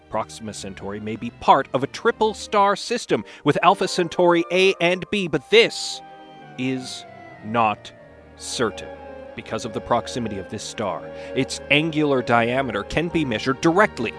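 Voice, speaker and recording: A man putting on a solemn, low dramatic reader over music. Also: a bit bright, natural voice. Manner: dramatic, deliberate, with weighty pauses. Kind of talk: mock dramatic reading of an encyclopedia text. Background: music behind the voice.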